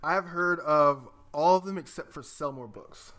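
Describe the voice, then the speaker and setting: A man's voice: on the high side, measured pace, pushed and bright. A man co-hosting a podcast in casual chat, with a jokey, easily amused manner. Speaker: podcast co-host.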